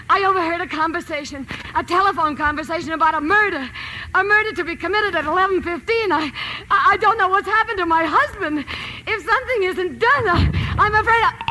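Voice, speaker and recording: Shrill, terrified bedridden wife. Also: female voice, sharp tone. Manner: breathless, stammering, imperious yet pleading. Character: terrified bedridden wealthy wife, nervy, demanding and pleading. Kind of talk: old-time radio drama acting. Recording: noisy old-time radio recording.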